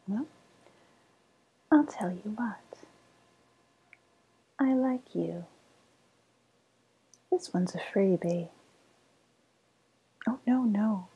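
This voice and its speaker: A woman playing a sly, cooing woodland witch with a faint menace, in a breathy, softly spoken ASMR roleplay whisper. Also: mid-range voice, rough recording. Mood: sly, teasing. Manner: slow, savouring, coaxing delivery.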